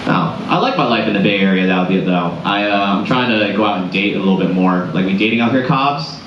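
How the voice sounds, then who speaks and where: A man from Boston with a deep voice doing a conversational stand-up comedy set, tossing quick asides to a live crowd. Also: lively intonation, noisy background. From Boston area, United States. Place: comedy club stage before a live audience. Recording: roomy club sound, dull and lo-fi.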